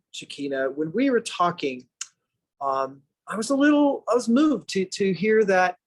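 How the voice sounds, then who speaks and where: Male podcast host, eager and chatty, slightly high voice, stammers. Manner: enthusiastic, stammering restarts. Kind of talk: casual interview.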